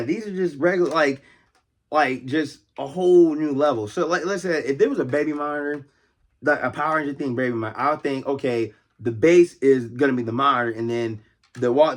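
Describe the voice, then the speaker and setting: An American man hosting a casual, unscripted vlog as a toy collector, talking in a rambling, conversational way and often repeating himself. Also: medium pitch. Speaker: toy collector and video host.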